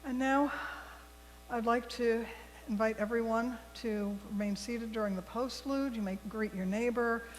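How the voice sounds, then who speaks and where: A woman leading a worship service, reading liturgy and giving announcements to a congregation in measured, deliberate phrases. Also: fairly high pitch. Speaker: worship leader. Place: large church sanctuary. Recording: long hall reverb, faint mains hum.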